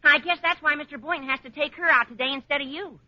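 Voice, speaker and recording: A man's voice: very high-pitched, fast, pushed and bright, dry recording, full character voice. An actor playing an eager, cheeky teenage boy, gossipy and overeager, in a 1940s radio comedy. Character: eager, cheeky teenage schoolboy with squawky high voice. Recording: muffled old radio recording.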